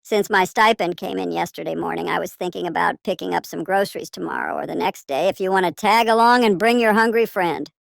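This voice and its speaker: A woman voicing a sweet, playful older neighbour in an audio story, chatty and affectionate with a creaky voice. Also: lowish voice, brisk, few pauses, no room sound. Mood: chatty, wistful. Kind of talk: scripted audio story character.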